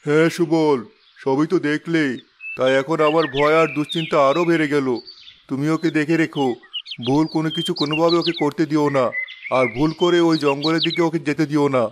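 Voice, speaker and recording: A man playing an anxious, protective middle-aged king in an animated fairy tale, speaking formally and with authority. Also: fairly high pitch, a little fast, background noise, natural voice. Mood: worried.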